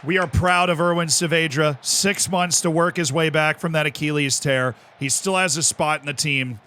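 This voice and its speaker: An American man live-streaming a game, commenting excitedly, shouting at big moments and cracking jokes in between. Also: on the high side, moderate pace, slight background noise. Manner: excitable, shouts at goals, jokey asides.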